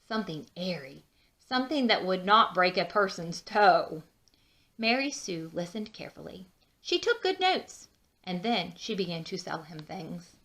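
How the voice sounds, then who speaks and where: A woman reading a children's storybook aloud with expressive, drawn-out emphasis and chatty asides. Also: lowish voice. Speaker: children's book read-aloud narrator.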